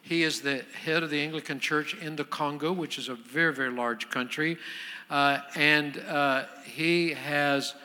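Bright-toned pastor introducing a guest. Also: male voice, fairly high pitch, fairly even intonation, measured pace. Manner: loose, restarting conversational delivery. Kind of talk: sermon introduction. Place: church sanctuary. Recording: long church reverb.

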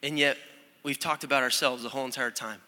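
A young man, a student pastor, preaching a conversational, energetic sermon with frequent restarts, recorded in a church sanctuary. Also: medium pitch, fast talker. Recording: long hall reverb.

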